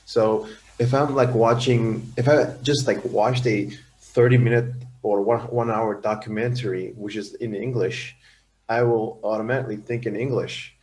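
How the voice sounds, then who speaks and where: A young male student with a lowish voice, answering a question in class. He rambles, circling back and restarting sentences, filling gaps with "um" and "like". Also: fairly even intonation, moderate pace, a little mellow, poor audio. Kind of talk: classroom discussion.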